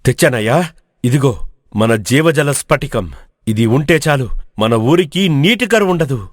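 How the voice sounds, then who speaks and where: A man's voice: mid-range voice, animated, unhurried, dry recording, clean studio sound. A man playing a brave, resolute village hero in an audio storybook, speaking in an earnest, commanding way. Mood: proud, relieved. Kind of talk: dramatic audio storybook acting.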